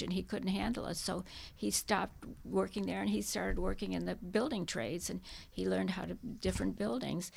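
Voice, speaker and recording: An older woman whose first language was Czech, recalling her past in an interview in a halting, reminiscing way with many restarts. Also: medium pitch, gravelly, slightly rough. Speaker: interviewee, hiking club member. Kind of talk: oral history interview.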